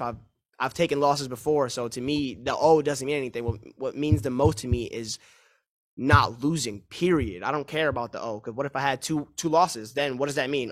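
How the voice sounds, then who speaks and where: A man in his twenties, a fighter answering press questions on a call, confident and repeating himself in fast run-on answers. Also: mid-range voice, fairly bright tone. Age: 20s. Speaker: mixed martial arts fighter.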